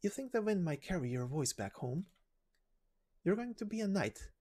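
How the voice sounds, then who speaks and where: A woman's voice: lowish voice, very animated, moderate pace, dark tone. A woman reading out a game's side story in character, as an amateur voice performer. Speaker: amateur narrator and voice actor of game stories. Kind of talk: character voicing of a game story.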